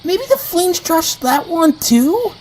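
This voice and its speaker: A woman's voice: extremely high pitch, sing-song, slow, heavy background noise, exaggerated voice. A woman voicing an eager but timid young male flying creature in an audio drama. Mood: puzzled. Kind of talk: audio drama character acting.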